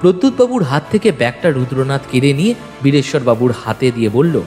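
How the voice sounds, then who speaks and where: A man's voice: medium pitch, animated, fast, darkish tone. A man narrating a detective audio story in the first person, suspenseful and dramatic. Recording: long reverb, music bed. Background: music.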